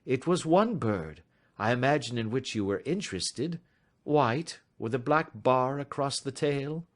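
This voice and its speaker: A man voicing a sharp, self-assured gentleman detective in an audiobook, speaking in a crisp, measured, gently ironic way. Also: medium pitch, expressive, voice pushed up, close to an everyday voice. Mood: confident. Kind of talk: audiobook reading.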